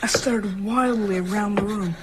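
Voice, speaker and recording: A woman's voice: medium pitch, normal speed, heavy background noise, lightly acted. Woman playing a frightened but defiant young boy. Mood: tense, fearful. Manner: tense narration breaking into defiant cries. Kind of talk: radio drama narration and acting.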